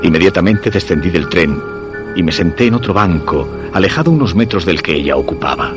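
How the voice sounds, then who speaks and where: An actor with a Spain accent playing a shy, obsessed man who tells his own suspense story in measured, literary radio-drama narration. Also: low-pitched, sing-song, over music. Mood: measured, brooding. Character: Guillermo, shy obsessed man secretly following a young woman.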